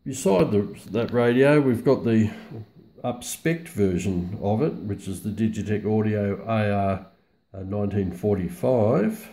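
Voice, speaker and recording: A man's voice: lowish voice, measured pace, warm tone. A man presenting a casual, unscripted product walkthrough in a hesitant, matter-of-fact way. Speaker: presenter of a radio review video.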